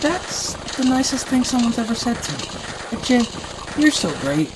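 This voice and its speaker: A woman playing a meek, friendly little male creature with a gentle, earnest way of talking, in a fan audio drama. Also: fairly high pitch, big pitch swings, unhurried. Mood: meek, grateful. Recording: clear background noise.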